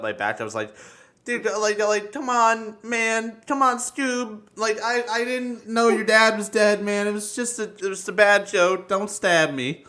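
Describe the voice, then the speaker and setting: Podcast guy, kinda high sharp bright voice, sweary casual stories, repeats himself for emphasis. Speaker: podcast speaker. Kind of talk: casual chat, animated anecdotes.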